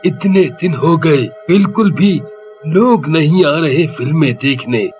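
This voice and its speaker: A man's voice: a little high, slow pace, a little mellow, faint music behind. A man voicing a weary, worried cinema owner in a muffled cartoon dub. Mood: dejected.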